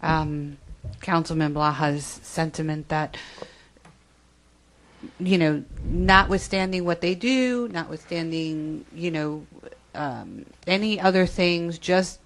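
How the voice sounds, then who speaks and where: A woman on a town board giving her view at a public meeting, measured and pausing with ums. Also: low voice, low-quality recording. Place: town hall meeting room.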